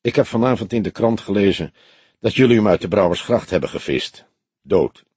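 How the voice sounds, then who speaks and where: A man's voice: lowish voice, animated, a little fast, a little mellow, breathy edge, no echo. A man voicing a stout, wealthy crime suspect in his late forties in an audiobook, polite and self-assured. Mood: indignant, composed. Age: 40s.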